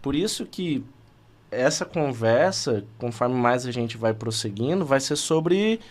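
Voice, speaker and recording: A man's voice: mid-range voice, animated, measured pace. A Brazilian man in his thirties hosting a podcast interview, friendly and promotional, often adding "né?" to his remarks. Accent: Brazilian Portuguese. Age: 30s. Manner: friendly, promotional, with frequent "né?" tags. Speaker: podcast host.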